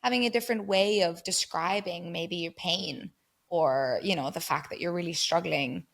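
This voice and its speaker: A woman with a slightly low-pitched voice talks through ideas patiently and thoughtfully, building each point step by step. She speaks as a guest in a relaxed conversation and now and then laughs lightly. Her pitch sits a little below the usual for a woman. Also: normal speed, creaky. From England and Germany. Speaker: guest researcher on migrant mental health. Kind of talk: conversational explaining.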